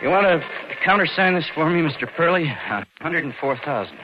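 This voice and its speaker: A man's voice: fairly high pitch, animated, fairly quick, noisy background, natural voice. A man playing a scheming, nervy American bank clerk in a vintage radio thriller, talking in slangy, hard-boiled, persuasive style. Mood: forced casual. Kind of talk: 1940s-50s radio thriller acting, first-person narration and dialogue. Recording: muffled vintage radio recording, dramatic music behind.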